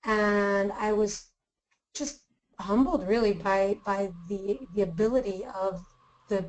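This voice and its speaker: A woman's voice: mid-range voice, takes it slowly, darkish tone, low-quality recording. A woman born in Greece and raised in the US, speaking as a tour manager. She is upbeat and laughs easily. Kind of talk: webinar talk about travel.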